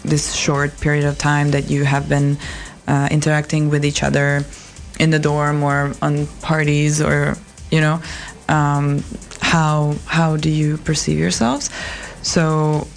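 A woman hosting a studio talk show, guiding guests with friendly questions. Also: low-pitched. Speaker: host.

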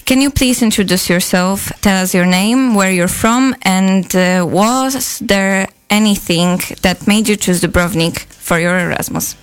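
Young woman hosting student radio, smooth clear voice, scripted intro then easy questions. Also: mid-range voice, a little slow, few pauses. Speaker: radio show host.

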